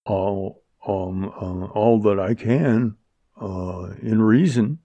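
A man's voice: medium pitch, expressive, measured pace, no room sound, muffled recording. A man voicing a timid, miserable young man with a hesitant manner, in an audiobook. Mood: miserable, stammering. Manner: hesitant, faltering. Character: timid, miserable young man, cowardly actor under pressure.

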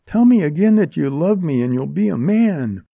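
A man's voice: high-pitched, animated, a little slow, gravelly, dry recording, narrow, tinny audio, voice pushed up, exaggerated voice. A man voicing a fiery, desperate young woman who pleads urgently, in an audiobook. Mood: pleading, urgent. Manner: urgent, imploring.

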